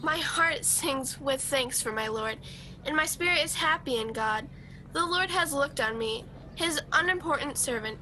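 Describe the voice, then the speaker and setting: A humble young bride-to-be, acted by a woman, with a high, bright voice. She is earnest and devout in radio-drama style, recorded at home with faint noise and rumble. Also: poor audio. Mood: reverent. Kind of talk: radio play acting.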